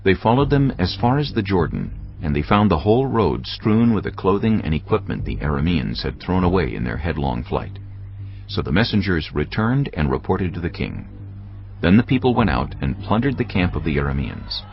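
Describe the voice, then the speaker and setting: Super deep gravelly guy narrating bible drama, solemn but lots of pitch movement. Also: sing-song, moderate pace, a little hiss or hum. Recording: dull, muffled audio over music.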